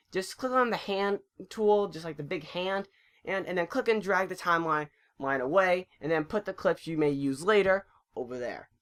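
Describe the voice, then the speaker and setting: A narrator giving an informal, chatty software tutorial, occasionally stumbling over words. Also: medium pitch. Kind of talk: casual screen-recording tutorial narration.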